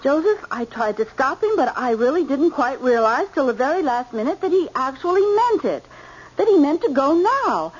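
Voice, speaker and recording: An actress playing an anxious, fussing American mother in an old-time radio drama, halting and repeating herself. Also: high-pitched, sing-song, lo-fi sound. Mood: anxious, fretful.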